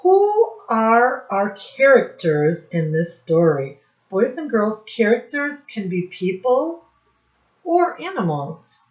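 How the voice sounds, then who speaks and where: A woman teacher reading a storybook aloud to young children, encouraging and instructive, pausing to question them and stressing key words. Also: fairly high pitch, sing-song, roomy sound. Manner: didactic, encouraging, addresses children directly, emphatic on key words. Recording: dull lo-fi recording.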